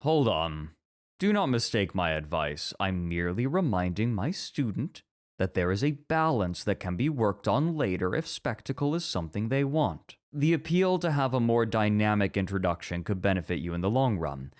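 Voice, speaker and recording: A man playing a measured, traditionalist literature teacher in a scripted ASMR roleplay, speaking formally and with careful reasoning. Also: fairly low pitch, big pitch swings. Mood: debating, reserved.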